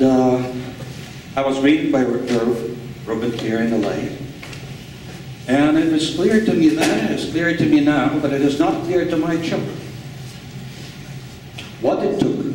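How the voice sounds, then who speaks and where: A man from Ukraine asking a question from the audience floor, halting and searching for words. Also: fairly high pitch, noisy background. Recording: echoey hall, voice far from mic.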